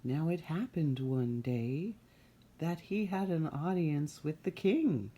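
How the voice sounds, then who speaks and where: A woman reading a fairy tale aloud as a storyteller, in an unhurried, expressive storytime manner. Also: sits low, breathy edge.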